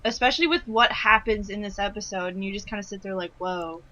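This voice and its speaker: Female fandub commentator, bright and crisp-edged, informal, slips into quoted reactions. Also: mid-range voice, quick pace, lo-fi sound. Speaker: fandub crew member, commentator. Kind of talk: casual group commentary.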